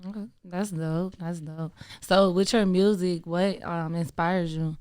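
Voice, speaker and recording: A woman hosts a radio interview in a fairly low voice. She asks relaxed, slangy questions and drops short affirmations. The sound is dry and close. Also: unhurried, clean tone, dry recording. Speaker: radio show host. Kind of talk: casual interview.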